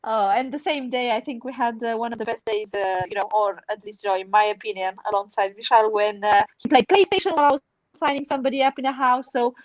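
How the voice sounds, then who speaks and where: High-voiced woman, non-native English speaker. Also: quick pace, no echo, rough recording. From outside the UK. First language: not English. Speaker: podcast panel member, charity fundraiser. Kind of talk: casual anecdote on a panel podcast.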